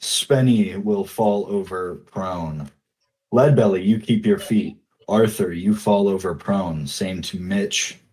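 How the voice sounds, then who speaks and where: A man narrating as game master, speaking haltingly with frequent fillers. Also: low-pitched, darkish tone, poor audio. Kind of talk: narrating a tabletop game online.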